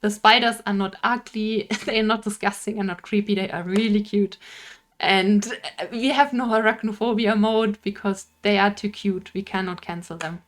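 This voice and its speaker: A woman's voice: mid-range voice, steady tone, normal speed. A young woman with a Bavarian German accent, an indie game developer talking casually to camera, laughing often and searching for words.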